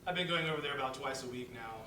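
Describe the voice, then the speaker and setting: A man's voice: rapid-fire, lightly acted. A man playing a wry, outspoken office worker in a dramatic reading of a play, speaking in a conversational, emphatic way. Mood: subdued, earnest. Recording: echoey room, distant mic, rumbling noise.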